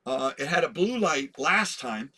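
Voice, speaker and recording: A slightly hoarse man hosting a comic book vlog, chatty and self-deprecating, laughing at his own slips. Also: a little high, expressive, unhurried, sharp tone. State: a little hoarse. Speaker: comic collector host. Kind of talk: rambling casual vlog.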